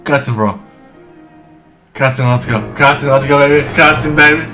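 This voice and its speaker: A man's voice: medium pitch, moderate pace. A man casually reacting to gameplay as a streamer, in slangy exclamations, with music behind him. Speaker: game streamer.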